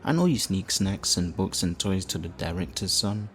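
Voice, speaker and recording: Man playing a flirtatious, low-voiced theatrical supervillain. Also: expressive, faint music behind. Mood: earnest, coaxing. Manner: teasing, theatrical, drawn-out mock-sweet phrasing. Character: flirtatious, theatrical male supervillain leader, smug and righteous. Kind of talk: audio roleplay addressed to the listener.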